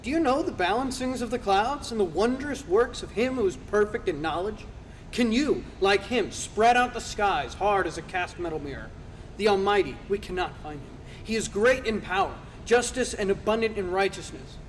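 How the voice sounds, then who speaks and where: A man's voice: sits high, lively intonation, moderate pace. A man playing an earnest male counselor who rebukes and lectures in a declamatory dramatized scripture reading. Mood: earnest, reverent. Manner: declamatory, preaching. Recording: reverberant hall, faint background noise.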